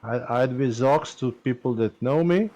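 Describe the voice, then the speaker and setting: A man from Serbia with a Serbian accent, speaking English as a second language in halting casual chat with many pauses and fillers on a live stream. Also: medium pitch, warm tone.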